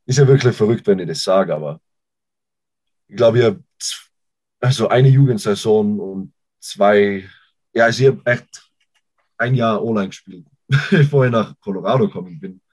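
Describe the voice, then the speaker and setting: A young man with an Austrian accent, a college football player answering interview questions in a halting way, often restarting sentences. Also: on the low side, a little mellow, husky. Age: young adult. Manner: halting, restarts sentences while searching for words. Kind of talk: casual interview talk.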